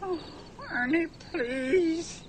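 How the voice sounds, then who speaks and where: A man's voice: very high-pitched, extremely slow, slight background noise, exaggerated voice. A man voicing a long-suffering, grumpy puppet camper in a comedy sketch, exasperated and plaintive. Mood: weary, annoyed.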